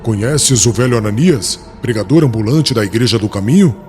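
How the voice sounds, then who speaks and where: A man's voice: mid-range voice, lively intonation, fairly quick, darkish tone, soft background music, close to an everyday voice. A man playing a young religious inquisitor, formal, commanding and coldly cruel, in a dramatized audiobook. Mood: harsh questioning.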